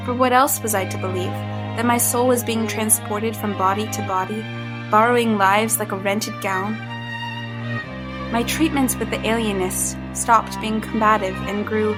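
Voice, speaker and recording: A woman plays a determined young woman in an audio drama, narrating her own captivity in a measured, introspective voice. Her pitch sits a little high, and a clear music bed runs beneath her. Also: natural voice. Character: young woman trapped in a man's body, determined. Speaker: narrator and lead character.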